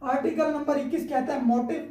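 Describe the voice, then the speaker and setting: A woman's voice: high voice, fairly even intonation, moderate pace, mellow. A woman with a Pakistani accent giving an informal explanatory law lecture, teacherly, repeating her points and checking in often. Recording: echoey, roomy sound.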